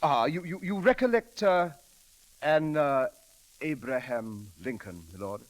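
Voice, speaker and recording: A crackly old radio comedy recording of an English manservant. He is formal and earnest, stammers politely, and his pitch moves up and down in a lively way. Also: unhurried. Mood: flustered, determined. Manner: formal, deferential, hesitant stammering. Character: proper, deferential English manservant, earnest and flustered. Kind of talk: 1930s-40s radio comedy acting.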